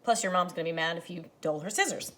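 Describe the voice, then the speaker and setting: A woman teacher reading a picture book aloud to children, expressive and dramatic, speaking to them directly. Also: lowish voice, racing, slight background noise. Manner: animated, dramatizes the story lines, addresses children directly. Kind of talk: expressive children's read-aloud with explanatory asides.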